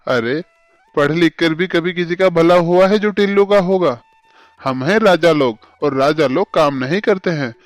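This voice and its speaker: A man's voice: fairly high pitch, normal speed, dry recording, faint music behind, natural voice. A man voicing a lazy, boastful crow husband in a children's cartoon, flippant and self-important. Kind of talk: Hindi cartoon voice acting for children.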